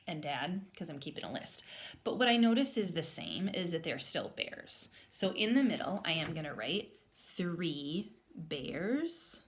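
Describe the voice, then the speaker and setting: Slightly low-voiced woman teaching young children. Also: a little hiss or hum. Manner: explanatory, spelling words out while writing. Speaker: elementary school teacher. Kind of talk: interactive read-aloud lesson for children.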